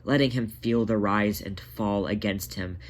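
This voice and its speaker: A narrator reading scripted fiction in a dramatic, deliberate way, drawing out certain words. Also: low voice, a little hiss or hum.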